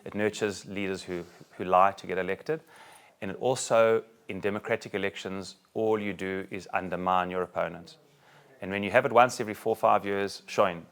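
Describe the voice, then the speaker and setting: A middle-aged South African-born rabbi giving a talk to a group and taking questions, joking and animated, with many restarts. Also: male voice, low-pitched, moderate pace, clean tone. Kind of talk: talk with audience Q&A. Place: room with a live audience.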